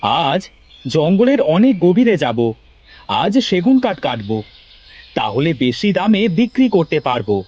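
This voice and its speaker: A man playing a poor, caring village woodcutter husband with a coaxing, expressive delivery in a cartoon fairy tale. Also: fairly high pitch, a little slow, highs cut off. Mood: reassuring.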